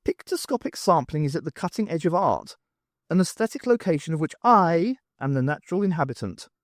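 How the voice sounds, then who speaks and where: A pompous, eccentric art professor, as a male narrator plays him in an audiobook. He is furtive and florid, speaking in a fairly high, very animated voice with a dry, close sound. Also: brisk, no echo, natural voice. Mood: pompous, conspiratorial.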